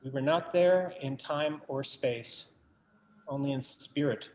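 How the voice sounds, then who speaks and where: An actor in a staged reading, playing a bereaved writer son who tells his family story in a measured, literary, wry way. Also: mid-range voice, darkish tone, a little hiss or hum, lightly acted. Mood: somber, reflective. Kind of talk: dramatic monologue in a staged reading.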